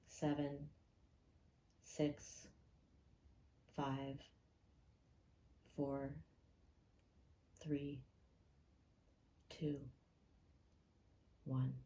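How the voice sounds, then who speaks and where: A woman leading a guided meditation in a calm, gentle and unhurried way, leaving pauses between phrases. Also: sits low, poor audio.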